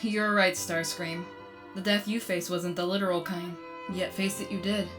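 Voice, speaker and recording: Casting: actress as a gentle cartoon robot heroine, lowish and solemn, with music clearly underneath. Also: female voice, level tone, normal speed, lightly acted. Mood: solemn, tender. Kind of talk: dramatic animated comic dub acting.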